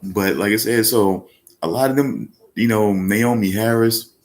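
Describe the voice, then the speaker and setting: Male podcast host, very low voice, loose rambling delivery, casual live-show chat. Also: unhurried, darkish tone. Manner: rambling, with many fillers and restarts; speaks up when emphatic. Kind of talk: casual live-stream talk.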